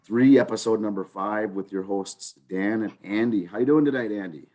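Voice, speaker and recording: Very low-voiced man, hoarse with a cold, easygoing podcast host. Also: normal speed. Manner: relaxed, hesitant with fillers. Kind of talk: casual sports podcast chat.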